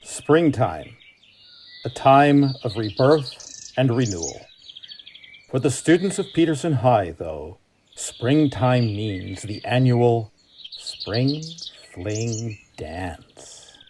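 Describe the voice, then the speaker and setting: Slow, expressive male radio play narrator. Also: medium pitch, a little hiss or hum. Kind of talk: dramatic scripted narration.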